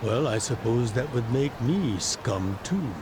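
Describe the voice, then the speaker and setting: An actor voicing a calm, fatherly middle-aged yakuza boss, measured and gently admonishing, in an English-dubbed game. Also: medium pitch, background noise.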